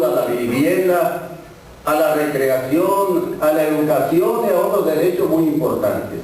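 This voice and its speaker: A man's voice: fairly high pitch, a little mellow. A man who represents a farm workers' union, giving an earnest speech at a public forum. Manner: measured, earnest speechmaking with fillers. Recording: echoey room, voice from far off, faint noise.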